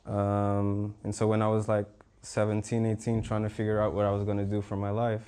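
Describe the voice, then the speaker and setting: A young man from Portugal, a visual artist speaking as a panel guest in a relaxed, rambling way with many fillers. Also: on the low side, a little fast, clear, resonant tone. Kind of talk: casual conversational talk.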